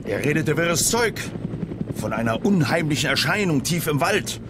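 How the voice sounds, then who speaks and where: Army general: grave, clipped, slightly high voice, radio drama over helicopter noise. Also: male voice, very animated, moderate pace. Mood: reluctant, grave. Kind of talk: children's sci-fi radio play acting.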